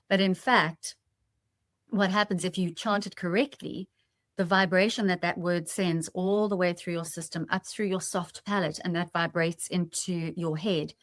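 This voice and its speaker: A woman's voice: fairly low pitch, no room sound. A woman hosting a podcast in a conversational, reflective way, explaining her thoughts to listeners. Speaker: podcast host.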